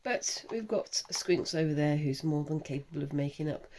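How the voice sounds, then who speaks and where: A woman in her thirties with a croaky, failing voice, hosting a casual crafting vlog with her young daughter in a chatty, wry way. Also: deep voice, moderate pace, darkish tone, a little hiss or hum. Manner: chatty, self-mocking, wry. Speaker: channel host, mother.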